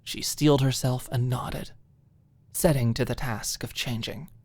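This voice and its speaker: A woman's voice: very deep voice, fairly even intonation, a little slow, darkish tone, dry recording. A woman reading scripted fiction expressively as an audiobook narrator in an ASMR style.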